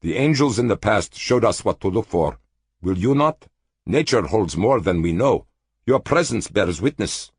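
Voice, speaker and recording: A man's voice: fairly low pitch, animated, moderate pace, slightly rough, no echo, clean studio sound, pitched above the performer's own voice. Man doing a fervent pleading stranger. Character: earnest pleading man of a caged world. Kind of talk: audiobook character voice.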